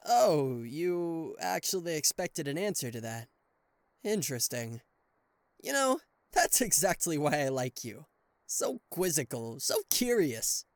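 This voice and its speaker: A man playing a cocky, playful and easily flustered king of hell as an affectionate boyfriend in an ASMR roleplay, with theatrical teasing pauses and stammers. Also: on the high side, sing-song, dry recording. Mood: teasing, coy. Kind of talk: ASMR roleplay addressed to the listener.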